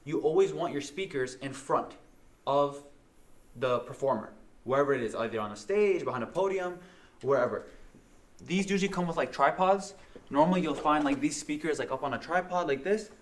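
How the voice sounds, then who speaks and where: A man's voice: on the high side, moderate pace. Casual man giving a hands-on tutorial. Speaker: instructor demonstrating audio gear.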